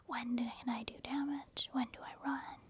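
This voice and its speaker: A woman's voice: high voice, level tone, moderate pace, a little hiss or hum. A woman doing ASMR game commentary in a whisper, casually explaining her thinking as she plays. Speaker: gaming ASMR video creator.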